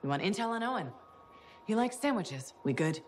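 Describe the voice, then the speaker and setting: A woman's voice: medium pitch, very animated, a little fast, darkish tone, soft background music, natural voice. Wry, unflappable mercenary woman, voiced by an actress. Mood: wry, casual. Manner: dry, sardonic. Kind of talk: video game voice acting.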